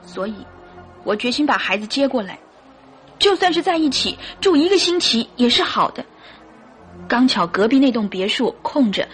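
A woman acting a devoted mother in a radio drama. Her voice sits a little high, with faint music behind it, and she speaks in an earnest, deliberate, pleading way that stays resolute. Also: natural voice.

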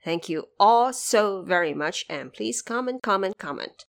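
A woman's voice: medium pitch, lively intonation, a little slow, gravelly. A woman hosting a podcast, giving scripted explanations with conversational asides addressed straight to the listener. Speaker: podcast host.

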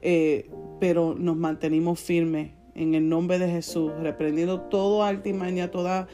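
Young woman, Puerto Rican accent, mellow relaxed tone, casual testimonial chat, soft guitar music behind. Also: fairly low pitch, a little slow. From born in Puerto Rico, raised in the United States. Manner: cheerful, given to laughing. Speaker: podcast co-host, church worship leader.

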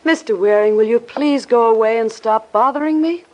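A woman's voice: fairly high pitch, moderate pace, a little mellow, a little hiss or hum, lightly acted, low-quality recording. An actress playing a guarded, impatient woman witness in a 1950s radio detective drama. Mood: exasperated. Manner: curt, impatient. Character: exasperated, guarded young woman witness, curt and weary of questions.

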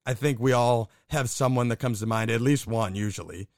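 A man's voice: medium pitch, fairly quick, dry recording, clean studio sound. Candid storytelling podcast man. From Buffalo, New York area. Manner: storytelling, candid, swears casually. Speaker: podcast co-host. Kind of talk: casual conversational podcast.

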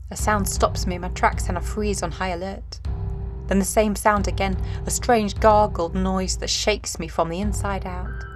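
A woman's voice: mid-range voice, steady tone, moderate pace, lightly acted. An actress playing an anguished, determined young mother in 1940s wartime, speaking an intense, poetic first-person monologue in an audio drama. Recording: music underneath.

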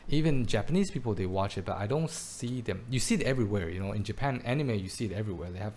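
A man's voice: fairly low pitch, animated, fast talker, darkish tone, slight background noise. A man raised in Belgium, chatting casually and often restarting his words. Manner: hesitant, frequent restarts and repeated words. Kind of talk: casual chat. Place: his home, lives in Taiwan.

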